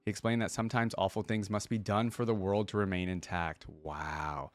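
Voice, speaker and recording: A man's voice: fairly low pitch, fairly even intonation, normal speed, dry recording. A man reading a fantasy story aloud expressively and adding casual remarks as a reaction host.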